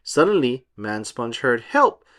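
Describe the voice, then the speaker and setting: A man reading a storybook aloud for children in an animated style. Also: a little high, normal speed.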